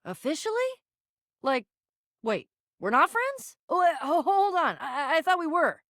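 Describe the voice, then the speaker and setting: High-pitched, animated young adventurer girl. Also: moderate pace, dry recording, clean studio sound, heavily acted. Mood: flustered, hesitant. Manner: eager, stammering, slangy. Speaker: voice actor in a dating game. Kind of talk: scripted video game character lines.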